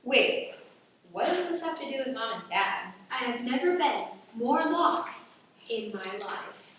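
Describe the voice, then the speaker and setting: A woman's voice: on the high side, animated, a little slow, a bit bright. A woman playing a bossy, exasperated girl in a radio play. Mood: baffled. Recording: very echoey, distant mic, faint noise.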